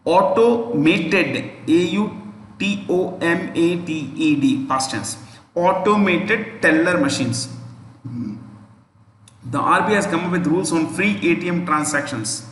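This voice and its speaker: A man's voice: on the high side, a bit bright, slight background noise. A male exam coaching teacher lecturing a class, spelling words letter by letter and repeating each point. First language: not Hindi. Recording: echoey room with long reverb.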